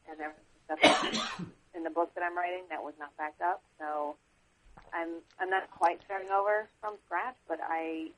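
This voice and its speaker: A woman's voice: deep voice, gravelly. A woman on a group call, chatting in a rueful, casual way. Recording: muffled lo-fi phone line with faint hiss.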